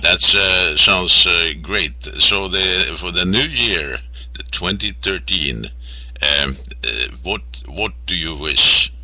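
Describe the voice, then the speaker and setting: A man with an extremely deep yet very bright, forceful voice works as an interviewer. His questions are informal and wordy, and he often halts and rephrases them along the way. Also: slow pace, no room sound, low-quality recording.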